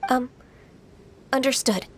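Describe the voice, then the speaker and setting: A woman voicing a gentle, earnest young female adeptus in an anime game, polite and softly admonishing. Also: sits high, takes it slowly, slight background noise. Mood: earnest, patient. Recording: game music loud behind.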